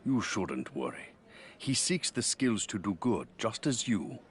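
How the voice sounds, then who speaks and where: A man playing a calm, wise, middle-aged yoga master in a video game, speaking in measured, solemn, philosophical phrases. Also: on the low side, slight background noise. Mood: serene, solemn. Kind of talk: video game story cutscene acting.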